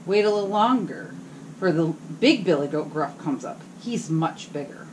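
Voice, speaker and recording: Timid little billy goat begging to be spared, high and small, read by a woman. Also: animated, moderate pace, darkish tone, slight background noise, natural voice, poor audio. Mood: pleading. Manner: reading a bedtime story aloud.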